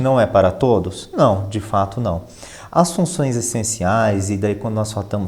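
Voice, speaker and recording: Mellow, low-voiced man in interview. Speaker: finance professional and professor, interview guest. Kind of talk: conversational interview answers.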